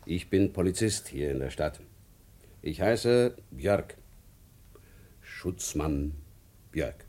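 An actor playing a fond, good-natured small-town policeman, speaking in a deliberate, avuncular way in a children's radio play. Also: low voice, lively intonation, warm tone, full character voice. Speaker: narrator character.

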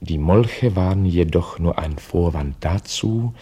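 A reflective novelist narrates in a very low, husky voice with a dark, mellow tone. The delivery is measured and deliberate, as scripted radio drama storytelling. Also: male voice. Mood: reflective, concerned. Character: worried, reflective male novelist telling his story. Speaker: author-narrator.

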